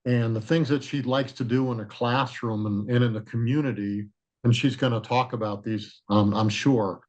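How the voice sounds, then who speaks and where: A man speaks in a loud, carrying voice at an ordinary, middling pitch. He introduces a guest speaker on a video call, addressing an online audience directly. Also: steady tone, normal speed. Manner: loud, projecting voice. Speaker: former president of the organization, introducing the speaker.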